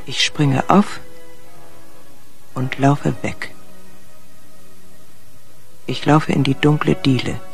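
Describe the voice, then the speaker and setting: Creaky-voiced, guarded wife. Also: female voice, very deep voice, flat delivery, extremely slow, long pauses, soft background music, exaggerated voice. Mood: grim resolve. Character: abused wife, tense, defensive, grimly resolved. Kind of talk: German radio crime drama acting.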